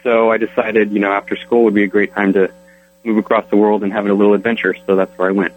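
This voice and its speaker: A young man from Colorado, speaking as an interview guest. His voice sits low and he talks quickly, with an easygoing, relaxed manner. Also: soft background music, rough recording. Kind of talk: casual interview answers.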